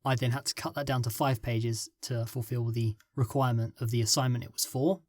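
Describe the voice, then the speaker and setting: Male podcast guest, mid-pitch, halting and filler-heavy, dry close sound. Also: fairly even intonation, darkish tone, dry recording. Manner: hesitant, filler-heavy, trails off mid-thought. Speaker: guest script writer. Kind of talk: casual podcast interview.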